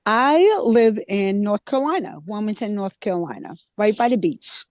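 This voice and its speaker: A woman's voice: medium pitch, very animated, moderate pace, a little mellow, no room sound, studio quality. A mother, former pop singer, chatting easily as a podcast guest. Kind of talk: casual interview chat.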